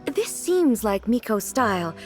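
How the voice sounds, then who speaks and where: An actress playing a composed, regal female ruler in a video game, formal, measured and inquisitive. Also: fairly high pitch, sing-song. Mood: expectant. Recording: music behind the voice. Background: game music.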